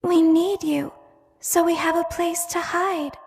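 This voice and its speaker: A woman voicing an eerie, childlike animatronic clown robot in a horror game, soft-spoken and deliberate, with calm menace. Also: very high-pitched, creaky, soft background music, heavily acted. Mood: softly menacing. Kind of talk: scripted horror game voice acting. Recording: echoey long hall reverb.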